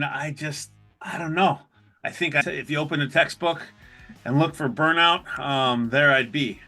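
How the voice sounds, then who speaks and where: Male podcast host, middle-aged, crisp bright tone, conversational self-deprecating storyteller, guitar music underneath. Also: mid-range voice. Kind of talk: casual solo podcast storytelling.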